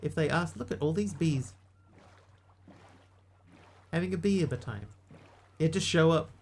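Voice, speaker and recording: A man's voice: fairly high pitch, unhurried. A man with a New Zealand accent chatting casually to viewers while he plays a game live, with dry, self-mocking asides. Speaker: streamer. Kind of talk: casual live-stream commentary while gaming.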